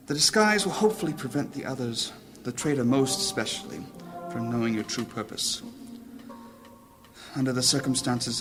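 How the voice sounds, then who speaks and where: A man's voice: mid-range voice, close to an everyday voice. Man playing a solemn wizard elder over music. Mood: urgent, solemn. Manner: formal, solemn, deliberate speech. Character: grave, anxious wizard council leader in a high fantasy tale. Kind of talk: fantasy audio drama acting.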